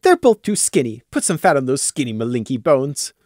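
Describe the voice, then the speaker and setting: A man voicing a bubbly, pushy female unicorn mayor who chatters brightly and bosses everyone around. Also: medium pitch, big pitch swings, dry recording, studio quality. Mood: bubbly bossy. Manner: bright, bossy, rapid-fire chatter.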